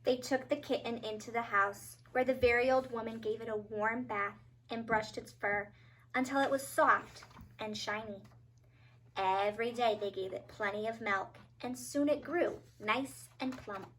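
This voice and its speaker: A woman narrating a children's storybook as a teacher, with expressive, dramatic emphasis. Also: fairly high pitch, a little slow, slight background noise, low-quality recording.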